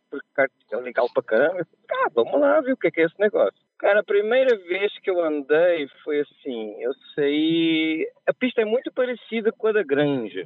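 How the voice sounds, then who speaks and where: A man from Portugal with a Portuguese accent softened by Brazilian speech, telling stories with a laugh as a podcast guest. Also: on the high side, no room sound. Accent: Portuguese, softened by years in Brazil. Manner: chatty, laughs at his own mishaps. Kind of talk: casual storytelling. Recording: tinny phone line.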